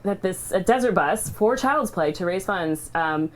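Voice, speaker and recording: A woman speaking casually and earnestly, in halting, unscripted phrases, as a livestream host sharing a personal story. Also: fairly low pitch, animated, low-quality recording. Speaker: charity livestream host sharing her cancer treatment story. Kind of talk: casual, heartfelt unscripted talk.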